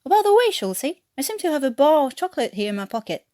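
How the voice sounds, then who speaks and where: A woman plays a wily English corporal in a war story. He talks with an English accent and bouncy, animated intonation, nonchalant and teasing, always smugly sure of himself. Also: high-pitched, fast, no echo, studio quality, pitched above the performer's own voice. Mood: breezy mock innocence.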